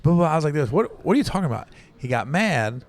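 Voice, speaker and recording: A man's voice: fairly high pitch, racing. A man in an easygoing podcast conversation, spinning anecdotes about gigs on the road. He acts out other people's lines as he goes and keeps checking in with "right?". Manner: animated storyteller, acts out quotes, says "right?" often. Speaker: comedian guest. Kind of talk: casual podcast chat.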